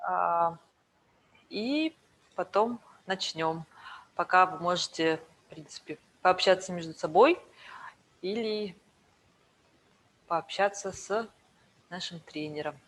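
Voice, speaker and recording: A woman organizing an online session, speaking softly and partly whispering as she makes announcements. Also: lowish voice, slow, long pauses, a little hiss or hum, poor audio. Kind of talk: casual announcements. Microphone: video call.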